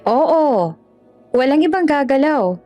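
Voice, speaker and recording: A woman's voice: high voice, lively intonation, slow, mellow, soft background music, pitched above the performer's own voice. A woman voicing a feisty, blunt and emotional young provincial woman in a romance audio drama. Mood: firm, emotional. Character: feisty, plain-spoken young provincial woman, anxious but brave.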